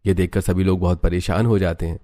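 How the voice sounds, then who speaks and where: A man's voice: low-pitched, little pitch movement, fast talker, warm tone. A man narrating a scripted cartoon village story as its storyteller.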